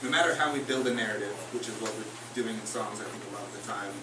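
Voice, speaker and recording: Man, songwriter, reflective onstage talk; echoey distant live recording with clear noise. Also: on the low side, rapid-fire. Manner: thoughtful, searching for words. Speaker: songwriter on a panel. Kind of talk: casual onstage discussion. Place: concert house, live in front of an audience. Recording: roomy live hall, distant, noisy.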